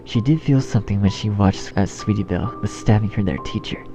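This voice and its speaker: A man's voice: lowish voice, fairly quick. A man reading a horror story as narrator in a scripted style. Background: background music.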